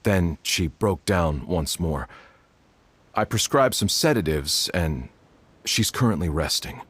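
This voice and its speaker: An actor playing a solemn household doctor in a video game, speaking in a formal, measured way. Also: male voice, low voice, animated, fairly bright tone, slightly rough, heavily acted. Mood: solemn, regretful. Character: grave, measured male household doctor bearing sad news.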